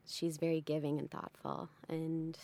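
A woman's voice: low-pitched, level tone, measured pace, vocal fry, warm tone. A woman in her late twenties telling a personal story in an earnest, heartfelt way. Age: 20s. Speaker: interview participant and health advocate.

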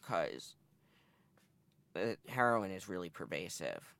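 Gravelly young man telling his story. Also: low voice, very animated, takes it slowly, fairly bright tone, dry recording. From northeastern Massachusetts. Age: 20s. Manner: candid, matter-of-fact storytelling. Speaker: interviewee. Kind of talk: oral history interview.

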